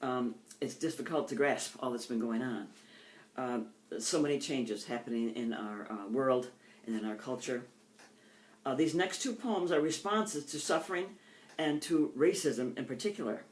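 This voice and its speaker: An older woman with an American accent, a poet reading her own poems in deliberate phrases and introducing them informally. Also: a bass voice, animated, a little mellow. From United States.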